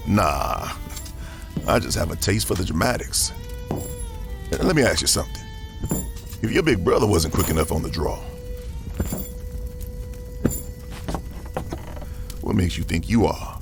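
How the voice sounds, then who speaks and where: Gravelly, cocky Western gunslinger. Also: male voice, sits low, animated, over music. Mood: menacing calm. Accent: American Southern. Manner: slow taunting drawl, theatrical. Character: cocky drawling Western gunslinger, dangerous, darkly playful. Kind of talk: Western audio drama acting.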